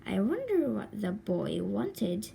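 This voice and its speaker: A woman voicing a kindly, brisk storybook aunt who exclaims and fusses with drawn-out emphasis. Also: mid-range voice, sing-song, vocal fry, warm tone, slight background noise, heavily acted. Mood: surprised.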